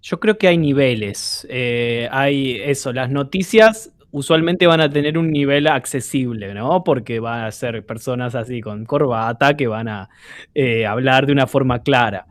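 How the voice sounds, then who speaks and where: A man's voice: medium pitch, a little slow, no echo, studio quality. A man hosting a casual podcast, speaking thoughtfully with frequent hesitant fillers. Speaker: podcast host.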